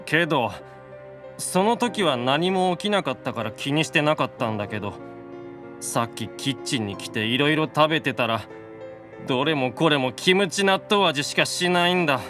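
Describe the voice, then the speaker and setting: A man playing a gentle, gluttonous young male demon, plaintive and earnest, in an anime audio drama. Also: on the high side, lively intonation, moderate pace, music in the background, close to an everyday voice. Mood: forlorn, subdued.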